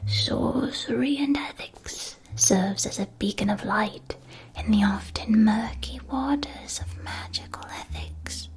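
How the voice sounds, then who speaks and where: A woman playing a gentle witch school shopkeeper in a whispered ASMR roleplay, soothing and precise, with a theatrical storytelling manner. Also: mid-range voice, slow pace. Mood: gentle, mysterious.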